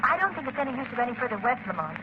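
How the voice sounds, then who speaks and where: A woman's voice: a little high, sing-song, very fast. An actress playing a worried young woman, companion to a crime fighter, in 1930s radio style. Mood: alert, uneasy. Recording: noisy muffled 1930s radio broadcast.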